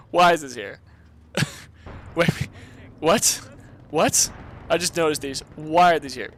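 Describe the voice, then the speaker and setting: A man casually commentating a strategy game replay, excitable and laughing often, talking to his chat, his voice a bit hoarse. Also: a little high, slow pace, slight background noise. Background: video game gunfire and artillery.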